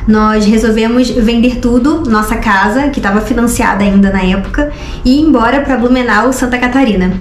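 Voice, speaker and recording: A woman's voice: a little high, normal speed. A woman in her twenties with a Rio de Janeiro accent, telling a story casually to the camera as a vlogger. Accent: Rio de Janeiro Brazilian Portuguese. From Rio de Janeiro, Brazil. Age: 20s. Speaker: vlogger, co-host of a couple's travel channel. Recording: roomy echo, music behind.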